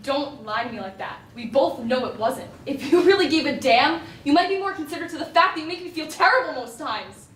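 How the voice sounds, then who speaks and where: An actress plays an opinionated woman who argues with earnest, emphatic frustration in a stage drama. Her voice is on the high side and comes from well back in a very echoey, noisy room. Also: expressive, fairly quick, hardly any pauses, fairly bright tone. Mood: angry, hurt. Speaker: stage actor. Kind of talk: stage play dialogue. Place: indoor stage, recorded from afar. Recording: echoey stage recording, distant mic, noisy.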